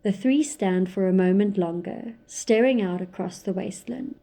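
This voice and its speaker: A woman narrating a scripted audio drama, measured and descriptive. Her voice sits mid-range with a mellow, darker tone. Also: medium pitch, vocal fry.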